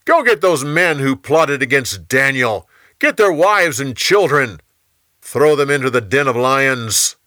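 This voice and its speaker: Man acting emphatic ancient king, raised bright voice. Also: medium pitch, expressive, slow, no echo, studio quality, voice pushed up. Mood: commanding. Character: commanding male Persian king, earnest and troubled. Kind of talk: dramatized Bible storytelling.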